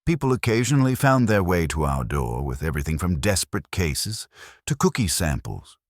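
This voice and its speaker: A man narrates a detective mystery in a very low voice with a husky grain. The delivery is wry and hard-boiled, with animated rises and falls, recorded close and dry. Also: moderate pace, dry recording. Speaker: audiobook narrator. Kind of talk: scripted first-person detective story narration.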